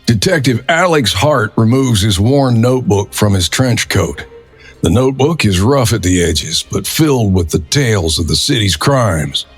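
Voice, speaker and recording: A man's voice: fairly low pitch, animated, moderate pace, husky, soft background music. A man narrating a detective audio drama in a brooding, dramatic storytelling manner. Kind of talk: scripted noir narration.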